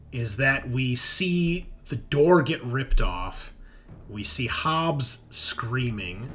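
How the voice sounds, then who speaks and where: A man's voice: medium pitch, bright, forceful tone. A man running a sci-fi horror tabletop game as game master, narrating scenes descriptively and swearing casually. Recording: faint background music.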